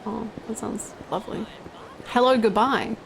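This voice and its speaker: A woman in her twenties chatting casually as she livestreams a video game, with an expressive, giggly, exclamatory way of talking. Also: a little high, measured pace, creaky, a little hiss or hum, low-quality recording. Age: 20s. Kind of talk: casual livestream chat over gameplay, reading out comments. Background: video game music.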